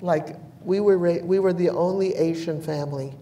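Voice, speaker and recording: An older woman from New Mexico on a panel, telling personal memories in a measured, thoughtful way with frequent pauses. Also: lowish voice, dark tone. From United States (New Mexico). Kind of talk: reflective personal storytelling. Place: bright large indoor room, seated in armchair. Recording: echoey room, distant mic, faint hiss.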